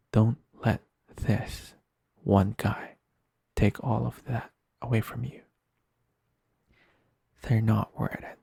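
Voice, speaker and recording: A man playing a gentle, caring best friend, speaking in a soft, breathy voice with soothing, earnest, unhurried delivery in an intimate comfort roleplay over music. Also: on the low side, no room sound, clean studio sound, heavily acted. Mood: tender, reassuring. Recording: music under the voice. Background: soft instrumental music.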